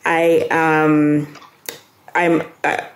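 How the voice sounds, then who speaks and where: A woman's voice: sits low, completely flat delivery, extremely slow. A woman in her twenties from Zambia, a guest answering interview questions in a talkative, hesitant way with many fillers. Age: 20s. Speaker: guest, law student. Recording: echoey room.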